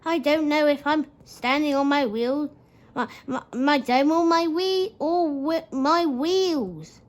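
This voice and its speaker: A woman puts on a small, weary storybook engine. The voice is very high and hesitant, repeating itself, eager to please. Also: slow. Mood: sad, tired.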